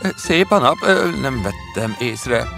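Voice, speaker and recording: Hesitant, brooding storybook suitor, played by a male narrator, pitch lifting and swinging, music underneath. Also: sing-song, gravelly. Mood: flustered, hurt. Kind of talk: fairy tale character voice.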